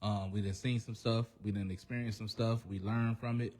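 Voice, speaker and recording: A man's voice: on the low side, steady tone, moderate pace, a little mellow. A man speaking as a guest in a casual radio interview, earnest and reflective, talking through his experience. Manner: earnest, reflective, full of "you know what I'm saying". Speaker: guest rapper.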